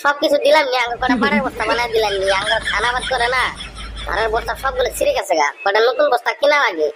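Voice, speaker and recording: A man's voice: very high voice, expressive, background noise, pitched above the performer's own voice. A man voicing a cheeky young village schoolboy who backtalks. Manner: cheeky, quick, argumentative excuses.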